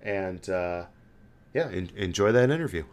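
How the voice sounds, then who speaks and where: Deep voiced guy hosting a sports podcast, casual chat and interview. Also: lively intonation, a little slow.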